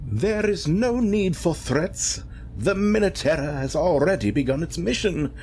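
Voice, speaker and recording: An actor playing an insolent, scheming creature-maker in an audio drama, talking in a wordy, formal, sly way. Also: male voice, fairly high pitch, expressive, unhurried, a little mellow, slight background noise. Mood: smug, defiant. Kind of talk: dramatic audio fiction acting.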